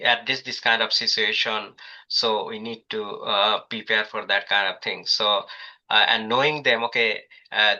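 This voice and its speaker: A man's voice: fairly low pitch, bright, forceful tone. A man presenting a workshop on a video call, hesitant and full of filler sounds. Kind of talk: online professional development talk. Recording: dull lo-fi video call audio.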